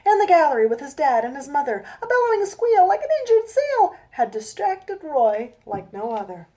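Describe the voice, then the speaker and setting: A woman author reading her children's book aloud in a gentle sing-song manner, with chatty asides to young listeners. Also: sits high, big pitch swings, normal speed.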